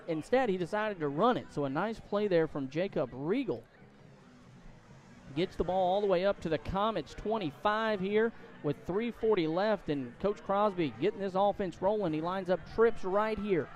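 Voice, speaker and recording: A man doing live play-by-play commentary of a high school football game. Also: normal speed, a little mellow. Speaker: play-by-play announcer.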